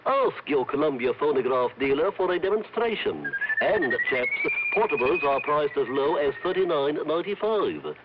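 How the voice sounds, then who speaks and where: An actor playing a pompous, fussy upper-class British birdwatcher lecturing stuffily in a comic vintage radio advertisement. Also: male voice, a little high, lively intonation, moderate pace, hardly any pauses, natural voice. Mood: stuffy, digressing. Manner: pompous, stuffy, throat-clearing asides. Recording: noisy, muffled old radio broadcast.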